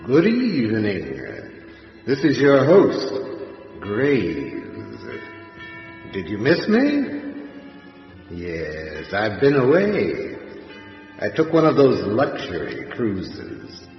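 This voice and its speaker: An actor playing a gleefully macabre undead horror host for a radio series, with theatrical, mock-genteel delivery. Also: male voice, fairly low pitch, wildly swooping pitch, very slow, vocal fry, pauses often, full character voice. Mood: sly, ghoulish. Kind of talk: old-time radio horror hosting. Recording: dull sound, long echoing reverb. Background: music.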